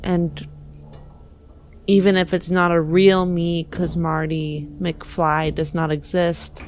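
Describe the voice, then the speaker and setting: A woman playing an earnest, protective technomancer in a science fiction tabletop game, speaking with measured, hesitant pauses. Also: fairly low pitch, little pitch movement, a little hiss or hum. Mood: pleading. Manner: measured, hesitant pauses, pleading yet firm.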